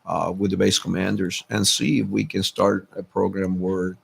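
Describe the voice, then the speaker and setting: Middle-aged male guest, low husky gravelly voice, halting earnest delivery, radio studio interview. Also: little pitch movement. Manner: halting, many fillers, earnest. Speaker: city council candidate, guest. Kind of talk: radio talk show interview.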